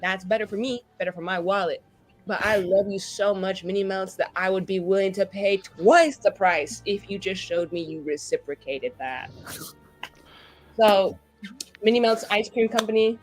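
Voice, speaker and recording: A woman's voice: mid-range voice, moderate pace, soft background music. A woman talking casually and playfully as a player on a tabletop roleplay show, with a theatrical, wordy, mock-pleading manner. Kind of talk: casual, playful group chat.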